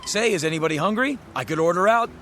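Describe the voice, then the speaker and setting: A man's voice: high-pitched, lively intonation, fast talker, fairly bright tone, a little hiss or hum. A man playing a slangy used-car lot owner with a salesman's patter in an audio drama. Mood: eager, showy.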